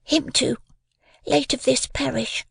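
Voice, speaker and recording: A man's voice: extremely high pitch, steady tone, takes it slowly, gravelly, a bit bright, breathy edge, no room sound, clean studio sound, pitched above the performer's own voice, heavily acted. A man voicing a small, frightened orphan boy in a storybook, timid, polite and pleading.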